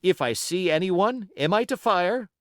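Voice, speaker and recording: A man voicing a quiet, deferential manservant in an audiobook, civil and unruffled. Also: high voice, lively intonation, measured pace, dry recording, clean studio sound, pitched above the performer's own voice. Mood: calm, civil. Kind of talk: audiobook character acting.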